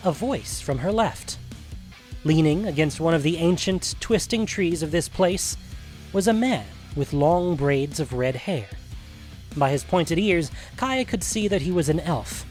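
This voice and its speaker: A man's voice: fairly high pitch, darkish tone. A man reading scripted narration for a fantasy adventure story.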